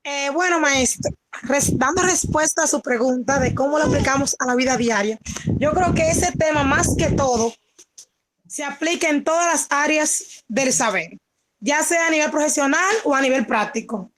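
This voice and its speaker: A woman's voice: sits high, normal speed, a bit bright, slight background noise, poor audio. A woman giving an informal spoken answer as a student in an online class. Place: video conference call.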